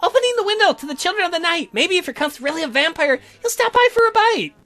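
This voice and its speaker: A woman's voice: extremely high pitch, animated, fast, a bit bright, pitched above the performer's own voice. A woman voicing a bossy, teasing young female best friend in a visual novel, theatrical and gushing. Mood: playful, smug. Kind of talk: visual novel read aloud in character.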